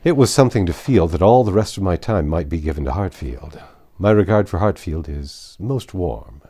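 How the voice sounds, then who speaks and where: A man playing an embarrassed, earnest young gentleman suitor in a classic novel reading, speaking politely and haltingly. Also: sits low, animated. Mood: earnest, hesitant.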